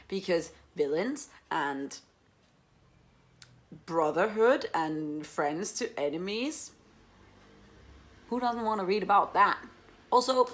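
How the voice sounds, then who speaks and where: A woman's voice: lowish voice, a bit bright. A woman hosting a casual book vlog, chatting in an enthusiastic, rambling, self-mocking and sweary way. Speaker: book reviewer, video host.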